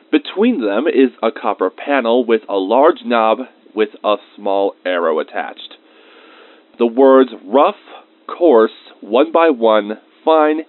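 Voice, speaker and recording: A man's voice: fairly low pitch, dry recording. A man playing an easily irritated researcher, dictating lab log entries as scripted narration in an audio drama. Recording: muffled, band-limited close dry recording.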